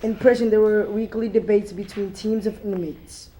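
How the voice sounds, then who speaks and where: A woman's voice: medium pitch, steady tone, moderate pace, dark tone, rough recording. A young teenage girl, a middle school student, reading a text aloud as a dramatic presentation. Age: young teen, middle school student. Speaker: student reader.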